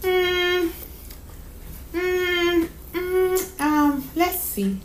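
A woman's voice: extremely high pitch, steady tone, very slow, slight background noise, voice pushed up, full character voice. A woman voicing a shy, sweet young girl who stammers and repeats her words. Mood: eager, hesitant.

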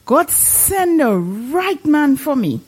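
A woman acts as an older Dominican village churchgoer in a drama, devout and easily swept up. Her high voice swoops about in slow, gushing exclamations with a Caribbean lilt. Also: sing-song, darkish tone, exaggerated voice. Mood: joyful, earnest. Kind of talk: dramatised dialogue in Caribbean creole English.

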